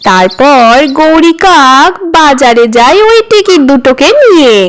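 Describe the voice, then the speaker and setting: A woman's voice: sits high, expressive, a little slow. A woman narrating a cartoon story for children in a sing-song storytelling way.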